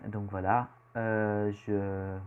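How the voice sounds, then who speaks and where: Teen boy, Belgian French accent, hesitant rambling vlogger, lowish voice, dull home-camera sound. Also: little pitch movement, very slow, a little hiss or hum. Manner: rambling, hesitant with many fillers. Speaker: youtuber. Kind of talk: casual self-introduction vlog.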